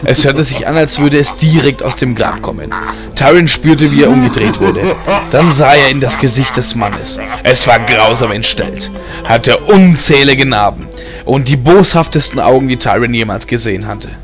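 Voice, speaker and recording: A man with a mid-pitched voice narrates a fantasy audiobook. His delivery is scripted and dramatic, and music plays clearly behind him. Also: moderate pace, a bit bright. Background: music bed.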